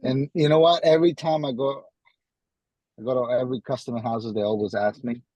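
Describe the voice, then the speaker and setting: A man from Guatemala with a Guatemalan Spanish accent, chatting casually as an interview guest and often repeating his phrases haltingly. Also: mid-range voice, fairly even intonation, dark tone, no echo, poor audio. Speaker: podcast guest, tradesman.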